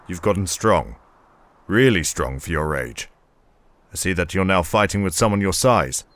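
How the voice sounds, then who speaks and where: An actor playing a big middle-aged warrior father in an audio drama, advising in a measured, proud and teasing way. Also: deep voice, animated, fairly bright tone, dry recording.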